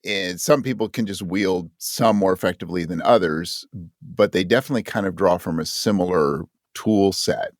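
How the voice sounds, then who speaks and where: A man's voice: low-pitched, normal speed, dry recording, clean studio sound. A man talking as a guest in a casual interview, reflective and self-deprecating. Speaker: podcast guest, actor and audio drama writer.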